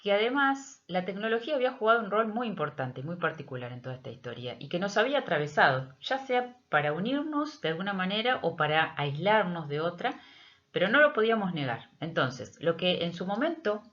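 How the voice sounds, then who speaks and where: A middle-aged Argentine woman, a teacher, explaining a project in a prepared but hesitant, measured presentation with frequent pauses. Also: fairly low pitch, very animated, fairly bright tone. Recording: dull, lo-fi audio.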